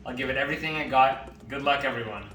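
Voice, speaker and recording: A playful, cocky man in his twenties from Massachusetts speeds through his intro. His voice is bright and fast, and it sounds roomy and distant. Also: mid-range voice, a little hiss or hum. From Massachusetts, USA. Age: 20s. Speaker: reality game contestant. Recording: echoey and distant.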